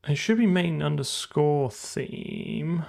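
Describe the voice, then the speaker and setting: A man's voice: a little high, animated, slow pace, vocal fry, a little mellow. A man thinking aloud as he codes, muttering to himself in fragments over keyboard typing and clicking. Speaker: programmer streamer. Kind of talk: live coding commentary, thinking aloud.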